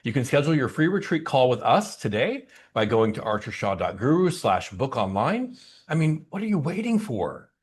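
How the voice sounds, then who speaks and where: A man leading an online spiritual service, speaking in a gentle, inviting and personable way as he guides listeners. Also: medium pitch, sing-song, moderate pace, breathy edge.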